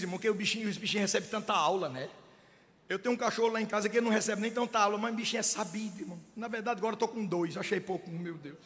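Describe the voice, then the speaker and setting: High, shouting preacher in a hall. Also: male voice. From Brazil. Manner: swings from soft storytelling to loud, shouting preaching. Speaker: pastor. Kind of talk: sermon. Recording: long hall reverb.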